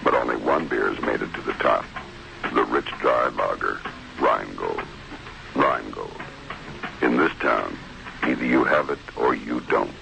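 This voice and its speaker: A man with an American accent voicing a tough-talking beer pitchman in a 1960s radio commercial. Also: measured pace, gravelly, long pauses, full character voice. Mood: assured. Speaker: commercial announcer. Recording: noisy old radio recording.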